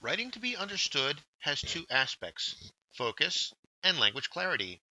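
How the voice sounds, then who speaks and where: Bright, crisp male workshop presenter, lively intonation. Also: a little high, moderate pace, rough recording. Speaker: workshop presenter, journal editor. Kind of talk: scripted instructional narration.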